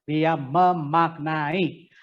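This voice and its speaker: An Indonesian man teaches preaching in a conversational, animated way, putting questions to his listeners. His voice sits quite high for a man. The sound is dull and lo-fi, like an online call. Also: extremely slow. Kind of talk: interactive sermon-preparation lecture. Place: online class over video call.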